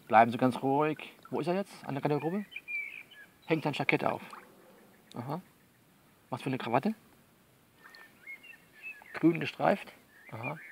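A man playing a frank, worldly coach who talks a female client through things by phone in a blunt, coaxing, colloquial way with repeats and pauses. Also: medium pitch, vocal fry, slight background noise. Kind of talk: improvised-sounding one-sided phone monologue in an audio novel.

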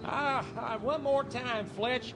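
An actor playing an easygoing baseball catcher in a radio drama. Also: male voice, very high voice, fairly even intonation, slow pace, music in the background, heavily acted. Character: easygoing baseball catcher calling to his pitcher.

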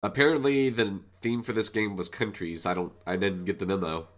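A man's voice: lowish voice, fairly even intonation, a little fast, fairly bright tone. A man with a congested, cold-stuffed voice casually commenting on his own game as host, thinking aloud as he plays. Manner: chatty, thinks aloud while playing. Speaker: gaming channel host. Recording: faint music bed underneath.

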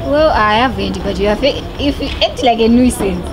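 Young woman, high voice, sassy answers, noisy roadside. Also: normal speed. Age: young adult. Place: outdoors on a roadside. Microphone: interviewer's handheld mic. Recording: loud street noise.

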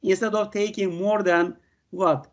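Older Turkish-accented professor lecturing online. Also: male voice, high voice, fairly even intonation, dull audio. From Turkey. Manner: halting, many "uh" fillers and "okay" checks. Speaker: university professor.

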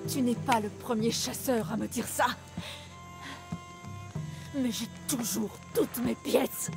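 A woman's voice: a little high, takes it slowly, vocal fry, over music. An actress playing a wounded young female smuggler in a French game dub, weary and sardonic. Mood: bitter, wary.

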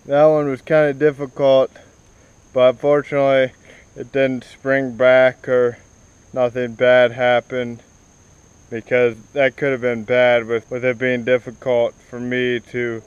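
A young man talks through a job out in a wooded yard, stopping and restarting often with plenty of ums and uhs. His voice is very smooth and clear and stays flat in pitch. The sound is dry and close, picked up by an action camera set on the ground. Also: dry recording, muffled recording. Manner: halting, many fillers and restarts. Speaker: vlogger. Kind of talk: casual explanation while working.

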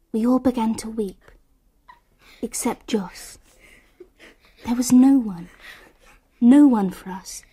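An actress playing a sensitive, wistful English girl who narrates a radio drama in a hushed, breathy, literary way. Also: on the high side. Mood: sorrowful.

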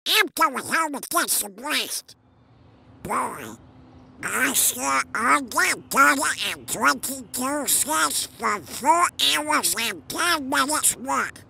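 A hot-tempered, put-upon cartoon duck, with a very sharp, crackling squawk. His garbled quacking comes out slowly and high, in a comic dub style. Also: very animated, vocal fry, exaggerated voice. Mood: grudging.

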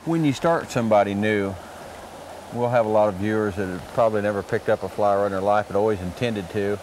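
A man's voice: lowish voice, fast talker, darkish tone, a little hiss or hum, rough recording. An older man hosting an outdoor fishing show, asking questions eagerly and chiming in with short admiring remarks. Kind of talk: interviewing a guest outdoors. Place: wading in a wooded trout stream outdoors. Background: faint stream and outdoor ambience.

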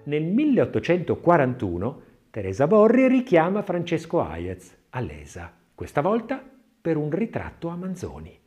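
A man narrating an audiobook in a scripted, expressive literary reading style, with measured phrasing and dramatic emphasis. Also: on the high side, faint music behind.

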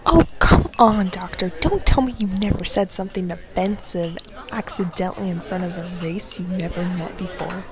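A woman's voice: lowish voice, moderate pace, few pauses, close to an everyday voice. A woman voicing a blunt, cheeky young companion from twentieth-century Earth, with party chatter behind her. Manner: blunt, teasing.

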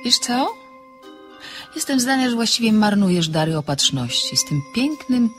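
A woman's voice: on the high side, sing-song, moderate pace, fairly bright tone, soft background music. An actress playing an inquisitive, self-possessed young woman in a radio play, teasing with dry, ornate irony. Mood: teasing, curious. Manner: teasing, ornate, dryly formal phrasing.

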